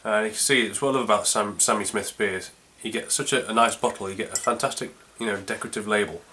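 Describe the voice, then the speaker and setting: A man's voice: lowish voice, fairly even intonation, fast talker, sharp tone. A man with a North Yorkshire accent chatting casually through a beer review, rambling a little with plenty of ums and restarts. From North Yorkshire, England.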